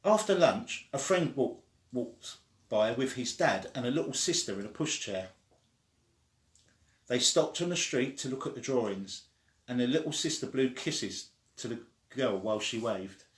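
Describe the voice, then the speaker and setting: A man's voice: mid-range voice, expressive, unhurried. Man reading a children's story, echoey room. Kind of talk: children's story read aloud.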